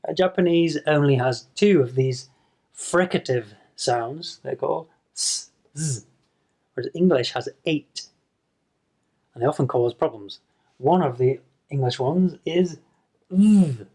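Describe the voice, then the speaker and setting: A man's voice: on the high side, a little slow, pauses often, dark tone. A man teaching English pronunciation to camera in a patient video lesson, demonstrating sounds and repeating them.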